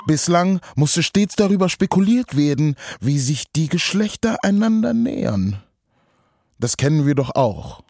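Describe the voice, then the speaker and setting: A mock ethnologist on expedition, pompous and full of wonder. His husky, fairly high voice moves slowly, with theatrical pauses, in the patronizing manner of a wildlife documentary. The sound is close and dry. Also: very animated, darkish tone, dry recording, heavily acted. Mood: awed, fascinated. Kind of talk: theatrical parody of nature documentary narration.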